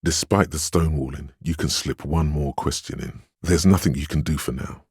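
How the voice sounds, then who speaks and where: An extremely low, grainy male inner voice of willpower from a role-playing game. It is steadfast and grave, counselling in measured, reassuring phrases. The sound is close and dry, with a husky edge. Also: darkish tone, no room sound, exaggerated voice. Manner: measured, solemn, encouraging counsel. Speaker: video game voice actor.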